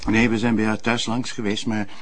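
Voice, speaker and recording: An actor playing a courteous, gently teasing police detective sidekick in a TV crime drama, a man with a Flemish accent. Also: fairly low pitch, little pitch movement, brisk, slight background noise, close to an everyday voice. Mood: musing. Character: polite, wry male police detective sidekick. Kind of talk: naturalistic TV crime drama acting.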